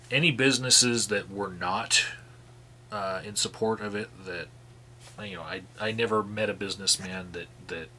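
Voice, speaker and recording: A man's voice: fairly low pitch, sharp tone, a little hiss or hum. A man reading call records aloud and adding his own commentary, sighing often and restarting his sentences. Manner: sighing, halting restarts between read passages. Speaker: activist vlogger reading out call logs.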